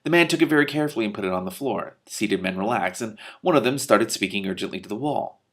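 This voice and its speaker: A man's voice: fairly low pitch, expressive, fast. A man reading a comic novel aloud, stumbling and restarting on words and breaking into disbelieving outbursts. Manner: breaks off narration with loud disbelieving swearing. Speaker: reader of a novel with commentary.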